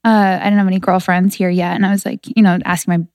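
A woman in her thirties from New Hampshire tells her story as a guest on a relaxed podcast. She sounds chatty and laughs often, with a slightly low voice that comes across dry and close. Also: quick pace, smooth, no echo. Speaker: podcast guest, fitness entrepreneur. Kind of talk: casual interview chat.

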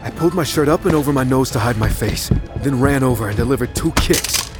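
An actor playing a man recounting a tense showdown with a killer, in measured, suspenseful first-person narration. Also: medium pitch, over loud music, lightly acted. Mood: urgent, excited. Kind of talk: scripted dramatic audio fiction narration.

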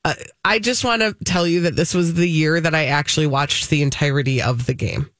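A woman's voice: sits low, a little fast, fairly bright tone, dry recording, clean studio sound. An American woman co-hosting a radio show, telling stories in a casual, chatty and emphatic way. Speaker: fill-in radio co-host.

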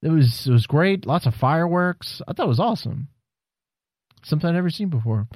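A man's voice: on the high side, lively intonation, a little fast, darkish tone, dry recording, clean studio sound. A man co-hosting a casual wrestling chat, joking and rambling, swearing freely. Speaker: podcast co-host.

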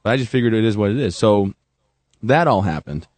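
A man's voice: on the low side, lively intonation, brisk, darkish tone, dry recording. A man in his thirties, a veteran guest telling his story with self-mocking humor. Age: 30s. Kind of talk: joking then earnest interview talk.

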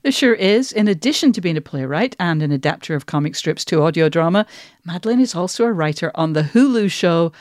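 A woman's voice: fairly low pitch, animated, a little fast, a little mellow, no room sound. Woman podcast host in a dry studio. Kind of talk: conversational podcast talk.

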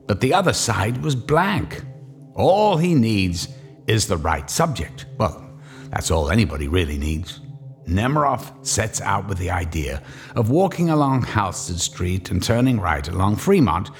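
Deep-voiced dramatic radio narrator. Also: male voice, big pitch swings, a little slow, soft background music. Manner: dramatic, suspenseful storytelling.

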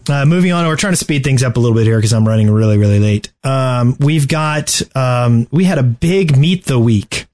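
Male podcast co-host, medium pitch, playful tongue-in-cheek banter. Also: animated, moderate pace, hardly any pauses, darkish tone. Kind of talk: casual gaming podcast banter.

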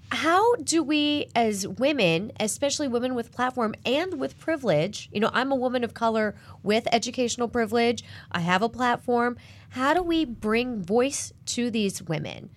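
A woman host and business reporter leading a panel discussion, animated and asking questions. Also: a little high, moderate pace.

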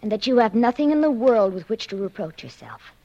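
An actress playing a solicitous, plain-spoken woman in a 1940s radio drama, with a husky voice. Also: a little high, big pitch swings, fairly quick, mellow, no room sound, dull audio, heavily acted. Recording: vintage 1940s radio broadcast.

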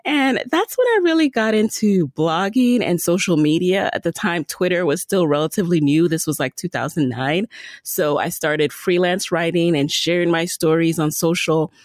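A woman's voice: lowish voice, lively intonation, moderate pace, dry recording. A woman in her thirties with an American accent, telling her own story conversationally as a podcast guest. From born in Jamaica, raised in the Bronx, New York.

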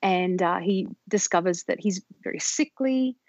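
A woman's voice: fairly low pitch, unhurried, darkish tone, no room sound, dull audio. A woman podcast host and author telling a history story in casual chat, with frequent restarts. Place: home studio in Colorado.

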